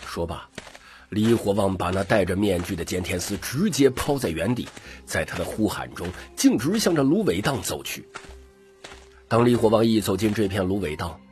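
A man reads dramatic fiction as an audiobook narrator. His voice sits somewhat low but moves with lively intonation. Faint music plays underneath.

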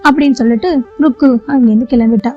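A woman with a high voice narrates a scripted children's story. The recording is slightly dull and lo-fi, with faint music underneath. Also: quick pace, dark tone.

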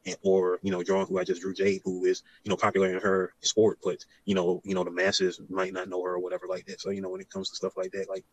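A man's voice: low-pitched, monotone, fast, few pauses, poor audio. A man chatting casually on a late-night live stream, rambling and using laid-back slang with lots of "you know".

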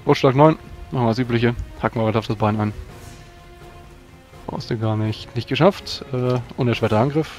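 A man's voice: mid-range voice, fairly quick, darkish tone, faint music behind. A man chatting casually as a player in a tabletop role-playing game.

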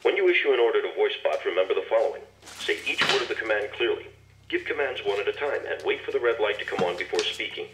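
A man's voice: fairly low pitch, bright, forceful tone, roomy sound, a little hiss or hum, rough recording. A man reading scripted instructions with careful enunciation, played from a cassette through a small toy speaker. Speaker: instructional tape narrator.

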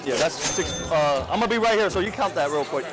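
A young man bargaining at a sneaker expo, calling out prices quickly and bluntly. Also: on the high side, expressive. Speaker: sneaker buyer. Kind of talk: casual haggling. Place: busy indoor sneaker expo hall. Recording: busy hall with music and crowd.

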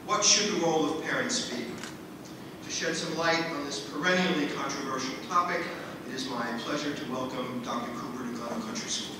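A man's voice: on the high side, brisk. A middle-aged man hosting a school event, delivering a formal prepared introduction of a speaker. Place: large open school hall at podium. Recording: echoey hall, distant, background noise.

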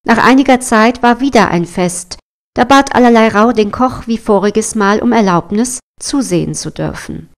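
A woman's voice: a little high, normal speed. A woman storyteller reading a scripted fairy tale narration. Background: music.

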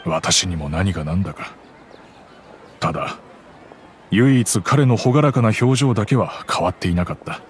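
An actor playing a gentle, regretful middle-aged merchant father who calmly recounts the past in a game cutscene. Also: sits low, unhurried, long pauses, slight background noise. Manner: calm, reflective storytelling.

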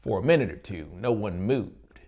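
A man in his thirties narrating a gothic horror audiobook with deliberate, theatrical phrasing. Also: fairly low pitch, animated, a little mellow. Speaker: audiobook narrator and musical performer. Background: music under the voice.

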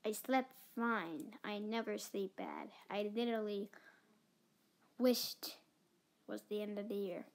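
A woman's voice: medium pitch, fairly even intonation, takes it slowly. A young girl improvising a doll roleplay at home, whiny and dramatic, often dropping to a whisper. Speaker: doll video creator voicing the lead character. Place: carpeted bedroom floor.

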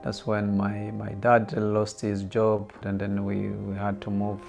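A middle-aged man from Rwanda giving quiet, hesitant documentary testimony, often repeating words. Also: on the low side, monotone, a little slow, vocal fry, faint music behind. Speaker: genocide survivor interviewee. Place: indoor living room.

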